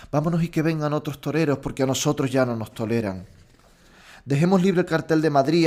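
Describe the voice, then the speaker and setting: Actor as a weary, resigned young Spanish bullfighter. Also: male voice, mid-range voice, a little mellow, close to an everyday voice. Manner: earnest, resigned, confiding. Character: young star bullfighter, weary, dejected, earnest with his friend. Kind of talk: audio drama dialogue.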